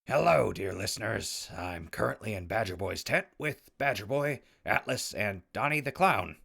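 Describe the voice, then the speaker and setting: A man's voice: fairly low pitch, animated, normal speed, creaky, heavy background noise. A man playing a wry, easygoing ghoul who narrates a post-apocalyptic audio drama in a dry, casual way. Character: wry, easygoing, irreverent ghoul wanderer narrating his journey.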